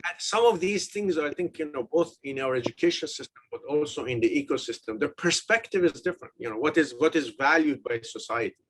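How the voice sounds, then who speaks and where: A man's voice: on the high side, animated, fairly quick, hardly any pauses, a little hiss or hum, rough recording. A man originally from Iran, speaking in an interview with frequent fillers and restarts. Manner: hesitant, many fillers and restarts. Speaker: interviewee, business professor. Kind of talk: casual interview talk.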